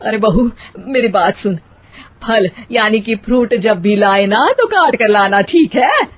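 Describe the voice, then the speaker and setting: A woman's voice: medium pitch, lively intonation, measured pace, phone-quality sound. An actress playing an exasperated middle-aged mother-in-law in a comic cartoon story, scolding and dramatic.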